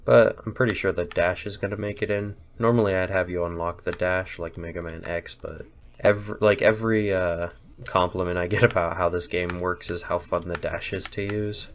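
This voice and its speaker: A young man with a deep, low voice, stuffed-up and nasal from a cold with a slight hoarseness. He rambles through his voice-over in a loose, self-deprecating way, full of fillers and asides. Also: fairly even intonation, moderate pace. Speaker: indie game developer. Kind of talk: casual gameplay voice-over commentary.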